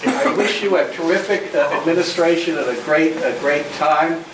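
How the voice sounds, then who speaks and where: A man giving a courteous, ceremonious and halting welcome speech as a club president, recorded at a distance in a noisy room. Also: on the high side, roomy sound.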